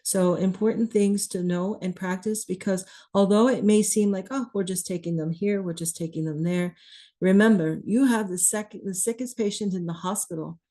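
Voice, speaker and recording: A woman teaching clinicians about priming and running a circuit, slightly hoarse as she is losing her voice, in a measured, explanatory way. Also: medium pitch, dark tone. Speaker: ECMO educator. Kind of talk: instructional talk.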